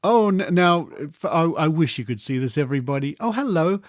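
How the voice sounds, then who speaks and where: A man's voice: on the high side, expressive, brisk, no room sound, studio quality. A middle-aged or older Australian man hosting a casual podcast interview, chatty and genial, playfully repeating phrases. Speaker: podcast host interviewing guests.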